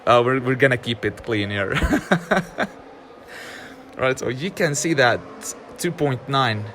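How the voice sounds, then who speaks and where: A man whose first language is Arabic gives casual commentary while playing a racing game, chatty and often frustrated, laughing and filling pauses with "um". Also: mid-range voice, expressive, fairly bright tone, a little hiss or hum. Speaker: gaming channel host.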